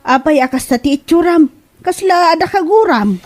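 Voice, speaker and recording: A woman's voice: very high voice, animated, moderate pace, a little mellow, soft background music. A woman with an Ilocano accent playing a concerned village mother of a grown son in a comedy drama.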